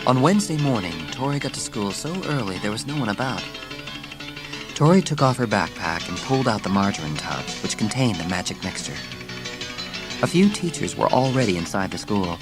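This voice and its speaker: A woman's voice: rumbling low, very animated, brisk. A woman reading a children's story as a scripted, dramatic audiobook narration. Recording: music under the narration. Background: music.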